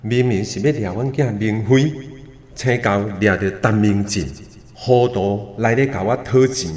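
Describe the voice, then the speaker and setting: A man's voice: on the low side, measured pace, a little mellow. An actor playing an anxious, self-protective doctor and father in a radio drama, exclaiming and arguing in a Taiwanese Hokkien accent. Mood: shocked, alarmed.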